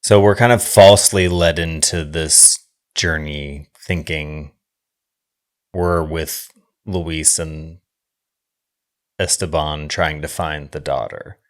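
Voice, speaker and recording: A man co-hosting a film podcast, talking casually in an informal, halting, thoughtful way. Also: extremely low pitch, fairly even intonation. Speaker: podcast co-host discussing films. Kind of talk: casual chat analysing a film.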